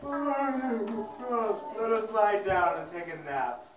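A woman's voice: medium pitch, drawn-out words, crowd noise. A woman voicing a drowsy, meek rat in a children's puppet show. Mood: sleepy. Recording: echoey room, distant mic, faint noise.

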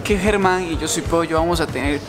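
A man's voice: a little high, a little fast. A young man hosting a casual travel vlog, joking and exclaiming as he goes. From Central America. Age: young adult. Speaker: vlogger host. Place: airports, hotel rooms and a packed auditorium. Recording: loud music and a crowd behind.

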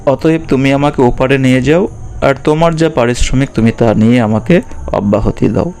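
A man's voice: mid-range voice, moderate pace, darkish tone, faint music behind, close to an everyday voice. Man voicing an insistent, determined young wanderer. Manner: insistent, refusing. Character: restless, determined eldest son seeking work. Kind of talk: children's cartoon voice acting.

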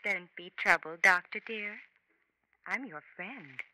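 A woman's voice: medium pitch, slow pace, sharp tone, rough recording. An actress playing a solicitous, genteel society woman in a 1950s radio drama. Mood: coy. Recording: vintage radio, faint noise.

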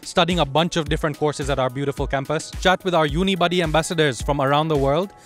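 A man's voice: fairly high pitch, soft background music. A young man from Kenya hosting upbeat interviews with students outdoors on a university campus, speaking into a handheld mic. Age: young adult. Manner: upbeat, quick, friendly questioning.

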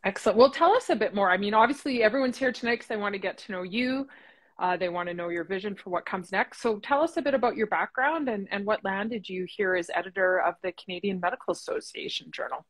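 A woman in her forties hosting an online interview, warm and encouraging, talking over a webcam video call from home. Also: mid-range voice, fast talker. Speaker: interview host, association president. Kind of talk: casual interview chat. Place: home room. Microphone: laptop webcam on video call.

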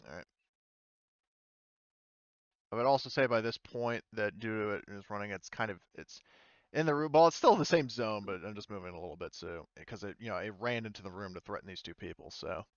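A man running an online tabletop game as game master, casual and halting as he thinks aloud. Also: on the low side, dry recording, muffled recording. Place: indoors, online voice call.

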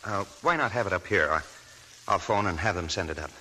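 An actor playing a kind, awkward young army lieutenant in a 1940s radio play, hesitant and stammering. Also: male voice, low-pitched, expressive, brisk, sharp tone, exaggerated voice, low-quality recording. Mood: earnest. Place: radio studio.

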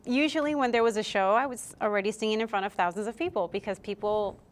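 A woman's voice: medium pitch, quick pace. A woman in her thirties who grew up in Puerto Rico, a guest singer telling stories in a casual interview in a TV studio. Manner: chatty, animated storytelling with laughs. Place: TV studio set, indoors. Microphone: lapel mic.

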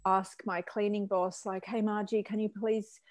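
A woman in her forties from Switzerland, a physiotherapist guest telling her story in a chatty, frank, animated way over a video call from her living room. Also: mid-range voice, moderate pace, no echo. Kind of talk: casual personal storytelling.

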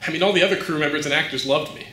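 An actor playing a vain, volatile, washed-up TV cop-show star in a mockumentary comedy, arrogant and dismissive. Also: male voice, a little high, rapid-fire, sharp tone, roomy sound. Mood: bitter, self-justifying.

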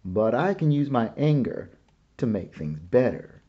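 A man's voice: medium pitch, very animated, unhurried, breathy edge, highs cut off. A man voicing an earnest, frustrated father in a read-aloud picture book, speaking firmly.

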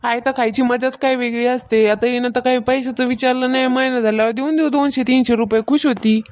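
Cartoon mistress of the house, played by a woman: higher-pitched, bossy, stingy, self-important, nagging in rustic Varhadi Marathi. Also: steady tone, brisk, a little mellow, close to an everyday voice. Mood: self-satisfied, demanding. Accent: Varhadi Marathi, rural Maharashtra. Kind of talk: Marathi cartoon comedy skit.

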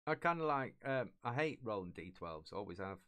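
Clear-voiced middle-aged man running a game. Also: on the low side, expressive, a bit bright. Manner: halting, hums filler syllables while thinking. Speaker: game master. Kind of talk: improvised tabletop game narration and rules talk. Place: small indoor room, online video call.

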